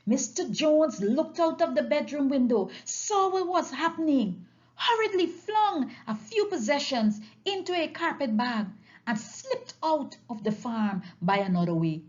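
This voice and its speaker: A woman reads a story aloud in a fairly high voice. Her delivery is unhurried and very animated, made for family listening. The home recording is slightly dull and lo-fi. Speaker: audiobook reader.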